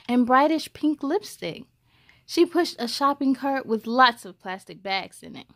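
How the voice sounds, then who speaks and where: High-voiced young woman reading storybooks aloud. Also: dry recording, clean studio sound. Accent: American. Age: young adult. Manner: animated, playful delivery. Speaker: host of a children's read-aloud show. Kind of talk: expressive storybook read-aloud with friendly asides to young listeners.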